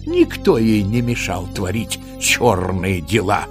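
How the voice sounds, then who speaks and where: Animated male children's storyteller over music. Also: fairly low pitch, slow. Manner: theatrical, playful, with rhetorical asides. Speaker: narrator. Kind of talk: expressive scripted storytelling for children.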